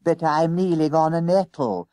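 A man's voice: a little high, lively intonation, measured pace, darkish tone, dry recording, studio quality, pitched above the performer's own voice. Man as an anxious, plaintive schoolboy, raised voice. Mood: complaining. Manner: complaining, hesitant, politely fussy. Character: anxious, plaintive, literal-minded schoolboy sidekick.

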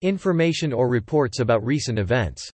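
Guy reading out english lesson examples, lively up and down pitch, dry close sound, says each line twice. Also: no room sound. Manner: repeats each sentence slowly and evenly. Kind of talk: reading example sentences and definitions aloud.

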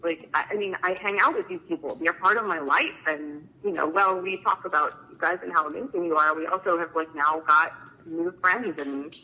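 Nervous, piercingly bright-voiced woman calling in. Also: fairly low pitch, brisk, slightly rough, low-quality recording. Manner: nervous, gushing, filler-heavy "like". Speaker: fan calling in, emergency room nurse. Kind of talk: casual chat, personal storytelling.

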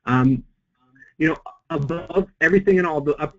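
A man with a slightly hoarse voice from a cold, giving an unscripted webinar presentation full of "uh" fillers and restarts. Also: a little high, fairly bright tone, muffled recording. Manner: halting, frequent "uh" fillers and restarts. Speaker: webinar presenter, center president.

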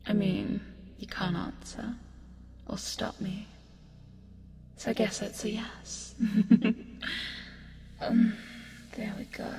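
A woman playing a teasing, creepy yet caring sleep paralysis demon in a breathy, soothing ASMR hypnosis roleplay, close to the microphone. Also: medium pitch, a little mellow, a little hiss or hum. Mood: teasing, cozy. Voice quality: breathy, whispery. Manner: slow, soothing, coaxing with teasing asides. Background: music.

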